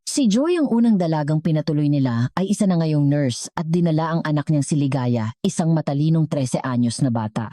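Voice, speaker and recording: Female story narrator, Filipino accent. Low, relaxed voice, earnest measured read, dry sound. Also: no echo.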